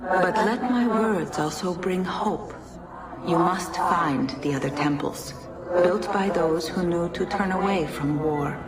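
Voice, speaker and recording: A woman voicing an ancient godlike being in a game cutscene, speaking in solemn, measured, formal oration. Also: lowish voice. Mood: urgent, hopeful. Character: ancient godlike female being of a dying precursor race, solemn. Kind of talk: dramatic game cutscene monologue. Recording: echoey, distant voice under loud music.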